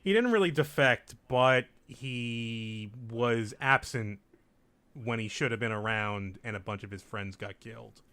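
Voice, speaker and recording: A man's voice: mid-range voice, unhurried, fairly bright tone. A young man hosting a group let's play, casually recapping the story and explaining game mechanics with jokes. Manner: laid-back, joking, explains game mechanics. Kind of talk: casual group gameplay chat. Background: game music.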